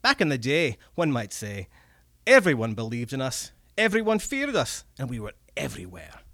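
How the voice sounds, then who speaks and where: A man voicing an old male goblin, a red cap, with a gruff, folksy manner. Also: medium pitch, wildly swooping pitch, pushed and bright, no room sound, clean studio sound, heavily acted. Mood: wistful. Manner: gruff, folksy, drawn-out swearing.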